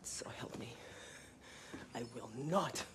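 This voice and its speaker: An actress playing a gentle, protective farm wife and mother, speaking in careful, formal phrases in a dramatic video game. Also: very deep voice, animated, takes it slowly, whispered, heavily acted. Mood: bitter, defiant.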